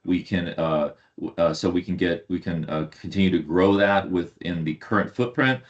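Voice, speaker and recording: A man speaking as a company executive on an earnings call, with a halting, hesitant delivery full of repeated words. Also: sits low. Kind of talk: earnings call presentation and answers. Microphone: online conference call. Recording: dull lo-fi online call audio.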